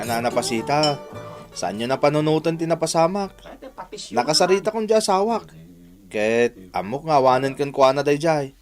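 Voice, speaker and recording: A man acting as a poor, polite laborer in an Ilocano radio drama, with a Filipino accent and a deferential, apologetic manner. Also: a little high. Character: poor, polite, humble married male laborer.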